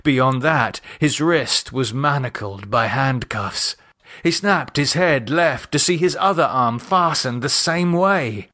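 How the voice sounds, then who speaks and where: A male narrator reads horror fiction at a slow pace in a mid-range voice. His delivery is deliberate and expressive, shaped as dramatic audiobook storytelling. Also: medium pitch. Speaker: author and narrator reading own novel.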